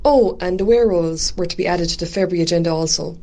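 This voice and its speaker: A woman's voice: on the low side, quick pace, warm tone, lightly acted. Woman acting an obliging Irish committee secretary. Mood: dutiful. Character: dutiful, chatty village committee secretary woman. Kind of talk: amateur radio drama.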